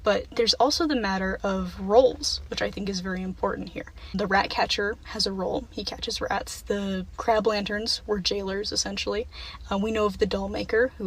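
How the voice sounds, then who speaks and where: Female listener submission, medium pitch, soft-rasp voice, measured reasoning. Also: poor audio. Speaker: listener sending voice message. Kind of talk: measured spoken argument.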